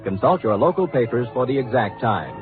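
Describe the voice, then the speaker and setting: A man's voice: mid-range voice, expressive, brisk. A man making formal, promotional announcements in the style of 1950s network radio. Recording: tinny old radio, faint music.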